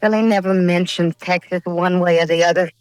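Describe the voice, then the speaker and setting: A woman's voice: on the low side, steady tone, fairly quick, darkish tone, dry recording, close to an everyday voice, rough recording. An actress playing a jaded, wounded, outspoken saloon woman in a vintage American radio western, with a curt, reproachful manner. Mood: sad, softened. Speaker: radio drama actor. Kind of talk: old time radio western acting.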